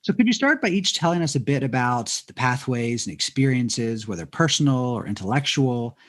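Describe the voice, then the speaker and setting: A man moderating an academic conversation, introducing guests and asking questions in a formal, measured way. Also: medium pitch, lively intonation. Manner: measured, formal, some fillers.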